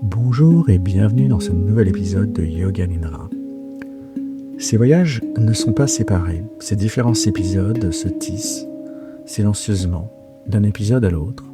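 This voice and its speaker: A man guiding a yoga nidra meditation for sleep in a soft, breathy, soothing and hushed voice, with unhurried phrasing and long pauses. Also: on the low side. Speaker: yoga nidra guide and podcast host. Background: soft plucked guitar music.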